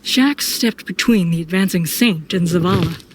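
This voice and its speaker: A woman's voice: lowish voice, normal speed, slight background noise. A woman giving scripted, dramatic first-person narration as the narrator of an audio story.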